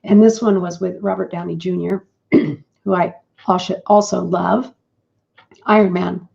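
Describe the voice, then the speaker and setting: Croaky, gravelly woman with a low, mellow voice. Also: fairly even intonation, measured pace. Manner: chatty and rambling, with fillers and restarts. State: croaky morning voice. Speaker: host of a live business broadcast. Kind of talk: casual live-stream talk. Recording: dull lo-fi stream audio.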